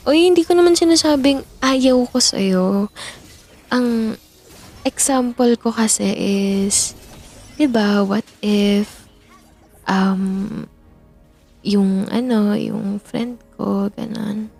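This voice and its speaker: A woman's voice: fairly high pitch, gravelly, darkish tone, heavily acted. A woman performing an audio roleplay as a playful young best friend who teases, giggles and backtracks when flustered. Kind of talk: audio roleplay, chatting while gaming.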